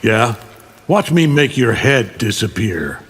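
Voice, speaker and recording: An actor voicing a brash, contemptuous fighter in a video game, cocky and taunting. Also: male voice, fairly low pitch, big pitch swings, takes it slowly, husky, full character voice. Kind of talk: video game character dialogue.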